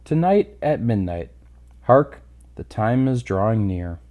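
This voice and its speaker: A man's voice: on the low side, big pitch swings, slow pace, warm tone. A man voicing an aging, solemn and sorrowful ghost with a grave, declamatory manner in a storybook reading. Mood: urgent.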